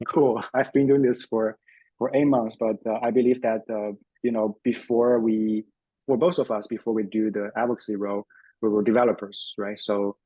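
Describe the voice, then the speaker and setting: A man's voice: lowish voice, flat delivery, moderate pace, mellow, slightly rough, no echo, low-quality recording. A man hosting a tech webinar as a developer advocate, speaking casually with lots of fillers and restarts. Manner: hesitant, many fillers and restarts.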